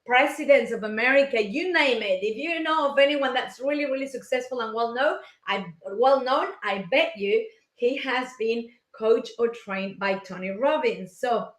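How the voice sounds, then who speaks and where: A woman's voice: fairly high pitch, normal speed. A woman in her forties from Mexico with a Mexican accent, giving an enthusiastic, chatty motivational talk on a live stream and greeting viewers. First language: Spanish. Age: 40s. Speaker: life coach hosting a live session. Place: colorful home office. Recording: echoey room.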